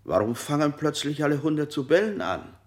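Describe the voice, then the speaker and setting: A man's voice: a little high, sing-song, normal speed. An actor playing a cold male interrogator in a radio drama, firing unsettling questions with sudden leaps in his voice. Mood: probing.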